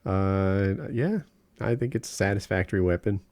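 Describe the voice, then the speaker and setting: A man's voice: deep voice, moderate pace, darkish tone, no room sound, clean studio sound. A man co-hosting a podcast, talking casually and crudely in a laid-back way. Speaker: podcast co-host. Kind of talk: casual comedic chat.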